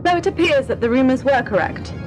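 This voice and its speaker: A woman's voice: sits high, animated, quick pace. A woman playing a formal broadcast news reporter giving a scripted report in a radio play. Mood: newsy, formal. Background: music.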